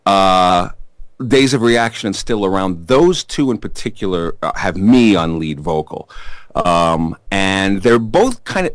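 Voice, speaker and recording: A man with a New York accent and a deep baritone voice, answering interview questions in a rambling, chatty way full of fillers and restarts. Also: no room sound. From Staten Island, New York. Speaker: bass player in a rock band.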